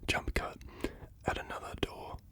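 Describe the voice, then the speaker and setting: A reader whispering screenplay stage directions in a hushed, unvoiced voice. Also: measured pace.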